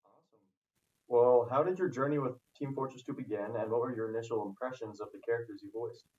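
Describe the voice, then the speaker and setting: A man's voice: mid-range voice, darkish tone. A man hosting a podcast, reading his prepared questions to a guest. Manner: brisk, reads questions from a list. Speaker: podcast host. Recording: distant, dull lo-fi audio with faint noise.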